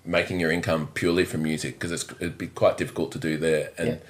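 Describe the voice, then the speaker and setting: A very low-voiced Australian man in his thirties, chatting as a podcast guest. He rambles freely, restarts his sentences and pokes fun at himself. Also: little pitch movement, fast talker. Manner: rambling, self-deprecating, restarts a lot. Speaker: drummer and musical director, podcast guest. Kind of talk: casual interview chat. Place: indoors, on a couch.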